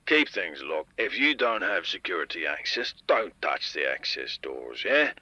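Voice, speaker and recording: A man's voice: deep voice, very animated, unhurried, pushed and bright, no room sound, lo-fi sound, exaggerated voice. A man playing a stern, exasperated, dutiful security chief making announcements in a radio drama. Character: gruff, dutiful male town security chief. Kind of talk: scripted radio audio drama, public announcement.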